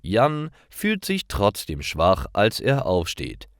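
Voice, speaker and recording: A man with a fairly low voice narrates simple stories for language learners. He reads very slowly and deliberately, with lively swings in intonation. The delivery is scripted and careful throughout. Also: no echo, studio quality.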